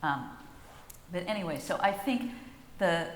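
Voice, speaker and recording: A woman speaking as a panelist, explaining policy in a measured way with frequent fillers. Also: lowish voice, lively intonation, a little hiss or hum. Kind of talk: panel discussion. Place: large conference hall. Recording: roomy hall reverb, faint ambience.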